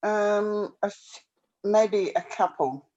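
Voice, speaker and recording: A woman's voice: medium pitch, slow pace, mellow, lo-fi sound. A woman chatting casually as a participant in a group session, agreeable and a little hesitant. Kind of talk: casual chat.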